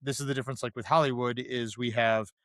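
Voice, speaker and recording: A man's voice: mid-range voice, steady tone, quick pace, a bit bright, no room sound, clean studio sound. A man co-hosting a podcast in casual film discussion, informal, jumping in and repeating phrases for emphasis. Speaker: podcast host.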